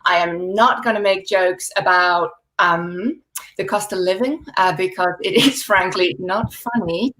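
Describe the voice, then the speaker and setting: A woman in her forties, raised speaking Dutch in the Netherlands, doing a stand-up set over a video call with dry deadpan delivery and laughing asides. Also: on the low side, a little slow, a little hiss or hum, poor audio. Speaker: stand-up comedian.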